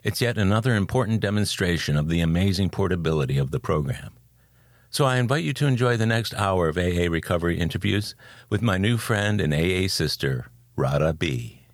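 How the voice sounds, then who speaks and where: A man with a low voice hosts an interview podcast. His delivery moves between scripted narration and conversational questions. The sound is dry and close, with very little room on it. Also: lively intonation, a little fast, dry recording.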